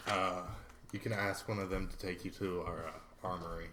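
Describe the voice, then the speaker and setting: A man with a very low voice at a tabletop game, easing in with hesitant "uh"s. A clear noise runs behind him. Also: level tone, normal speed. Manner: hesitant, starts with "uh". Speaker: player. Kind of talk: casual tabletop play.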